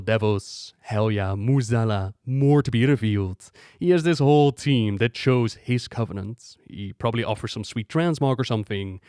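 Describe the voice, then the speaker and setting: Smooth-voiced man, dry close recording. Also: mid-range voice, a little mellow, dry recording. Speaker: video creator, narrator. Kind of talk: explanatory video game lore commentary.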